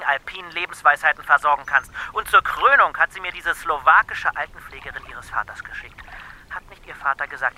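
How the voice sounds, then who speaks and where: Sharp, fast-ranting embittered poet. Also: male voice, fairly high pitch, a little hiss or hum. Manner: ranting, sarcastic. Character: burned-out Berlin poet, agitated, bitterly suspicious and accusing. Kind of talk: German radio play acting.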